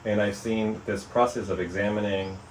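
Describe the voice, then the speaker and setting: A man being interviewed about his book, speaking in a thoughtful, measured way with frequent "you know" and "um". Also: lowish voice, steady tone. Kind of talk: reflective interview conversation. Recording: echoey room, mic at a distance, faint noise.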